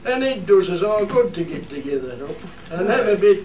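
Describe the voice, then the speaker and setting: A man's voice: high-pitched, sing-song, fairly quick, darkish tone. A man playing a proud, plain-spoken fell shepherd in a dialect radio play, speaking earnestly with a Cumbrian accent, as if making a speech. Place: studio theatre. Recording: roomy stage, distant mic, faint noise.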